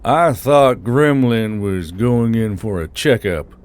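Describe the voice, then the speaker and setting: A man's voice: lowish voice, takes it slowly, a little mellow, clear, resonant tone. A man voicing a terse, weary and irritable male war leader in a fantasy audiobook. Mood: annoyed. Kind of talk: audiobook character acting.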